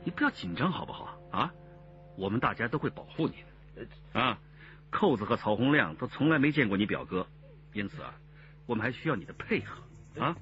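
An actor playing a steady, commanding, patient male police detective chief in a Chinese radio crime drama. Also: medium pitch, fairly quick. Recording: muffled band-limited audio with music behind.

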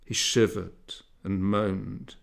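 A man narrating a literary story in a measured, dramatic storytelling manner. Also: lowish voice, steady tone, vocal fry. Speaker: audiobook narrator.

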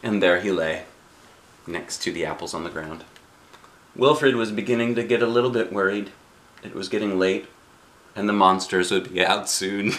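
A middle-aged man reading aloud to a child as a dad would, playful and expressive, with chatty asides and questions. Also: lowish voice, normal speed, long pauses. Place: small living room indoors. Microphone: camera a short distance away.

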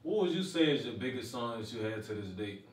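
A man's voice: mid-range voice, lively intonation, brisk. A man asking laid-back, brief interview questions from a distance. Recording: roomy, from off-mic.

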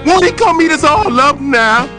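A man's voice: very high-pitched, lively intonation, normal speed, music in the background, pitched above the performer's own voice. A man voicing frightened villagers who stammer out anxious questions. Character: frightened, excitable village folk.

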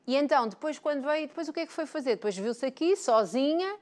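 A woman's voice: sits high, expressive, fast talker, a little mellow. A woman in her forties with a European Portuguese accent, hosting a TV talk show in a playful, encouraging way, recorded on a lapel mic. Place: bright TV studio, indoors.

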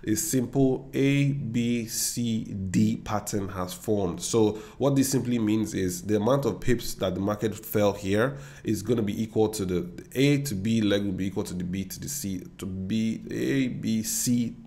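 Young Nigerian trader, lowish voice, confident and chatty, desk-mic screen-share talk. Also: male voice, unhurried, few pauses, mellow. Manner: confident, chatty, talks straight to viewers with asides. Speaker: forex trader and educator. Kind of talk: screen-share trading analysis. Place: indoor room.

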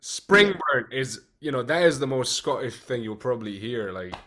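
A man in his thirties hosting a casual interview over a video call, talking in an animated, expressive way. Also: medium pitch, normal speed. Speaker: podcast host. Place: home room, indoors.

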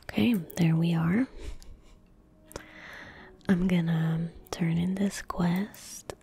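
A young woman whispering gently and breathily in a soft-spoken ASMR style as she comments on a game she plays. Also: fairly low pitch, fairly even intonation, creaky. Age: young adult. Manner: gentle, unhurried, murmured asides to self. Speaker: ASMR gaming streamer. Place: indoor room at a computer. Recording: faint background noise, game ambience. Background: soft game ambience, faint noise.

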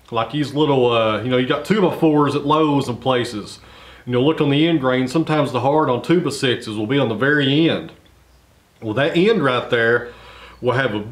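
A man, explaining things in a folksy, rambling way with lots of fillers. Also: mid-range voice. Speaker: sawmill channel host. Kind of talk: casual explanatory vlog. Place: large open-sided sawmill shed. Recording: roomy echo in a shed.

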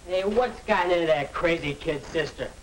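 A man's voice: a little high, moderate pace, lightly acted. A young man playing an angry, accusing teenage older brother in a 1950 educational film. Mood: irritated. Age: young adult. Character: hot-tempered bullying teenage older brother. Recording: noisy, distant 1950 film soundtrack.

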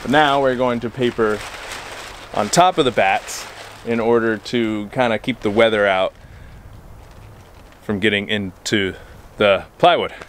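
Relaxed man explaining outdoors on camera. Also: fairly low pitch, unhurried, pauses often, a little hiss or hum. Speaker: homestead builder, channel host. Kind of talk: casual on-site walkthrough. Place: outdoors beside a wall under construction. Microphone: handheld camera at arm's length.

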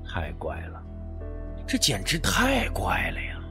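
A man's voice: fairly low pitch, huge pitch swings, takes it slowly, pushed and bright, faint music behind, voice pushed down, heavily acted. Man acting a stubborn, brooding young hero. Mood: uneasy.

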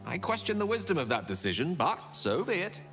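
A man's voice: sits high, big pitch swings, brisk, fairly bright tone, big-room sound, soft background music. A man playing an erudite wizard in a fantasy game, lofty, precise and politely condescending. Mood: resigned. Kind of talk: cinematic fantasy game acting.

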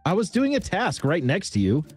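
A man's voice: high-pitched, huge pitch swings, normal speed, husky, faint music behind. A man defending himself with a laugh during a casual online game voice chat. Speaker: gamer in a group voice chat.

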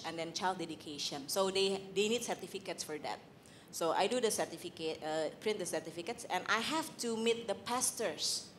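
A woman from Indonesia with an Indonesian accent, sharing a candid personal testimony on a church panel, halting with fillers, on a handheld mic in a large hall. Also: medium pitch, fairly bright tone, a little hiss or hum. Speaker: panel guest.

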